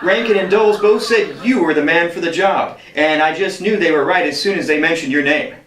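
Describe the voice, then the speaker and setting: An actor playing an upbeat, ingratiating office colleague who pushes with flattery in a sitcom-style radio play. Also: male voice, a little high, brisk, a little hiss or hum, lightly acted. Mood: eager, flattering. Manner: ingratiating, insistent. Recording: roomy, echoey sound.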